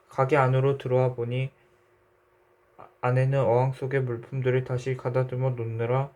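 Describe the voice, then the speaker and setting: A man narrating a literary short story in a measured, reflective way. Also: medium pitch, warm tone.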